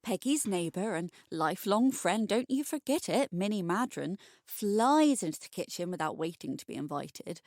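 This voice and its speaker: Female narrator, scripted short story, expressive, wry, medium pitch, no room echo. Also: normal speed, few pauses, clean studio sound.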